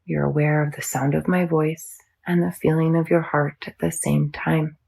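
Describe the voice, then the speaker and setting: A woman teaching yoga nidra, guiding a meditation in a soothing, measured, instructional manner. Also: sits low, little pitch movement, creaky, darkish tone, husky. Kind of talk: guided meditation.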